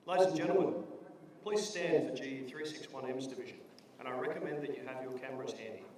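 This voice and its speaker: A man's voice: mid-range voice, fast, darkish tone. Formal male announcer in an echoing hall. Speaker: ceremony announcer. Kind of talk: formal public address. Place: covered parade ground. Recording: echoey, distant PA with faint noise.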